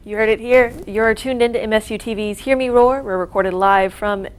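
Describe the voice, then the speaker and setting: A woman's voice: fairly high pitch, steady tone, normal speed. A woman hosting a student TV show, reading upbeat scripted links and asking questions. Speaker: student TV host.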